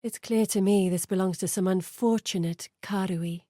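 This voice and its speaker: A woman's voice: mid-range voice, steady tone, moderate pace, mellow, no room sound, studio quality, close to an everyday voice. An actress playing an earnest, curious woman scholar in a video game, articulate, thoughtful and dramatic in delivery. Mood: saddened, appalled. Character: earnest, curious woman scholar guiding an exile through memories. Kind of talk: video game character voice acting.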